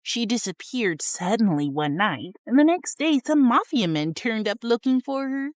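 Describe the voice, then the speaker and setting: A woman playing a weary, polite elderly lady in an animated story, talking in a tired, slow manner. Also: on the high side, no echo, clean studio sound. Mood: matter-of-fact.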